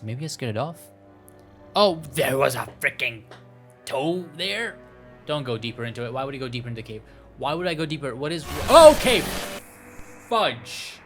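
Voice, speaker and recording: A man hosting a gameplay video, reading a visual novel aloud with playful, self-mocking commentary and stumbles over the text. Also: medium pitch. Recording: music playing behind the voice. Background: music.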